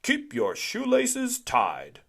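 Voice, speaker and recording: An earnest police officer from a children's storybook, as a reader acts him out. The delivery is emphatic and deliberate. The pitch swings widely and the words come slowly. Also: huge pitch swings, vocal fry, exaggerated voice. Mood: emphatic, instructive. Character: earnest police officer reciting safety tips.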